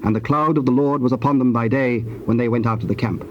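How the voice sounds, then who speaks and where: A man narrating scripture from a script in a measured, formal manner. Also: mid-range voice, fairly even intonation. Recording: dull noisy tape transfer.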